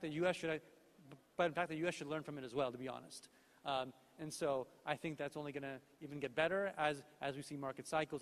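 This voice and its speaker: A man giving a conference talk as an executive, in an informal but emphatic way. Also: fairly high pitch. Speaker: company executive, conference speaker. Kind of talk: conference presentation. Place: large conference hall stage. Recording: echoey hall with long reverb.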